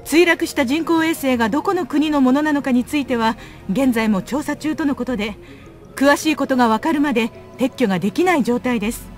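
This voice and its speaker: A woman playing a formal, brisk female TV news reporter in a video game. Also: high voice, soft background music, natural voice. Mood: formal, urgent. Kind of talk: scripted Japanese news report.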